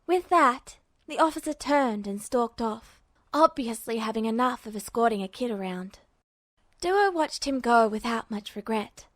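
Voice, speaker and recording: Female audiobook-style narrator, slightly high register, scripted fiction read, dry close sound. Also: animated, a little slow, dry recording. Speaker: narrator, fan fiction reader.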